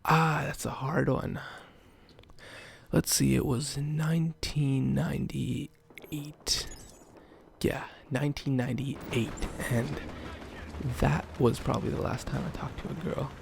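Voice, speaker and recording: A young man from Canada with a gravelly, just-woken-up rasp, wandering through answers with restarts and deadpan jokes. His pitch sits in the middle, and a faint hiss of room ambience runs under the voice. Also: takes it slowly. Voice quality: raspy, just woke up. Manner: rambling, self-correcting, joking. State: just woke up. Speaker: YouTuber. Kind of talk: casual Q and A vlog.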